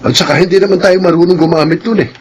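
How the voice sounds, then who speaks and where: A man's voice: high-pitched, very fast, a little hiss or hum, natural voice. A man acting a simple, good-natured middle-aged foster father, folksy and mildly grumbling, in a radio drama.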